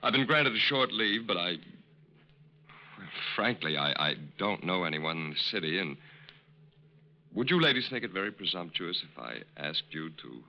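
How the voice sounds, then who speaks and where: Deep-voiced, smooth-talking con man major. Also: big pitch swings, normal speed, long pauses. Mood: ingratiating. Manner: courtly, ingratiating, hesitant politeness. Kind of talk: 1940s radio acting. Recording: dull, noisy old radio recording.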